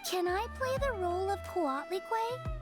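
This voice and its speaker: A woman's voice: very high-pitched, expressive, moderate pace. A woman voicing a shy, sweet, polite little girl in a video game, speaking with some hesitation. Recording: background music.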